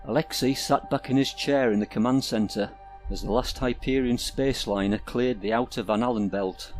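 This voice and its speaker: A man reading a short science fiction story aloud in a steady, measured way. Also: medium pitch. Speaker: story reader. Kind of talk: scripted narration. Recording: reading over music.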